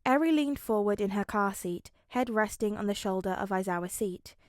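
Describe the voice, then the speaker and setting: A woman's voice: medium pitch, animated, normal speed, dry recording, studio quality. A woman narrating a scripted story as an audio fiction reader.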